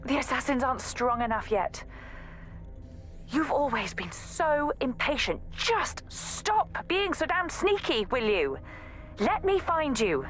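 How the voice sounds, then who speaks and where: A woman's voice: high-pitched, sing-song, slow pace, faint music behind, heavily acted. An actress playing an exasperated, protective young woman assassin in a video game audio log, scolding and pleading emphatically. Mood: exasperated, worried.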